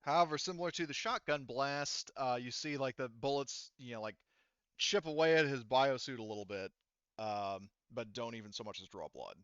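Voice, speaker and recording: A man's voice: medium pitch, normal speed, a bit bright, clear, resonant tone, no room sound, highs cut off. A man casually running a tabletop game as game master, explaining rules and narrating the action.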